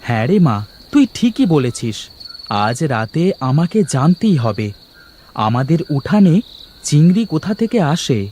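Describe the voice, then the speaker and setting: Man acting as high-voiced, bewildered village father. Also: sing-song, unhurried, a little mellow, a little hiss or hum. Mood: earnest. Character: kind, bewildered poor village fisherman father. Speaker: cartoon voice actor. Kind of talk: Bengali moral fairy-tale cartoon acting.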